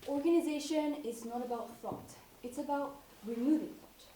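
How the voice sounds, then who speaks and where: A woman's voice: high voice, moderate pace, warm tone, lightly acted. A woman acting an imperious, expensive professional organizer in a one-act stage comedy, speaking in a curt, commanding, precise way. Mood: haughty, brisk. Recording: echoey stage from far off, noisy.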